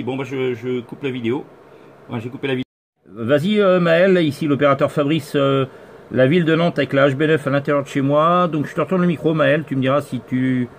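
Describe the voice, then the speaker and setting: A man's voice: medium pitch, a little hiss or hum. A man, a radio operator, chatting casually on a two-way radio contact from indoors at home.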